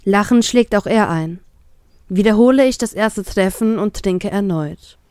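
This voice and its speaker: Female narrator, first-person audiobook style, scripted reading, medium pitch, dry recording. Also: unhurried, darkish tone, clean tone, dry recording. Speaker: narrator of a fan fiction story.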